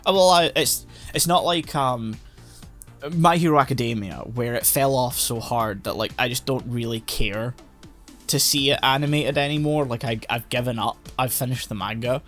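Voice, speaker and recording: A man's voice: medium pitch, normal speed, fairly bright tone, soft background music. A man co-hosting a casual podcast, rambling through his thoughts with lots of fillers and restarts. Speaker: podcast co-host. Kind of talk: casual chat about anime. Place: home, recording online.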